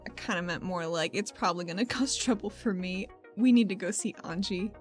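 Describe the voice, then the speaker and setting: A woman's voice: lowish voice, a little fast, faint music behind, close to an everyday voice. A woman playing a wry, stubborn adventurer in a tabletop game, talking in a casual, slangy way. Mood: wry, playful.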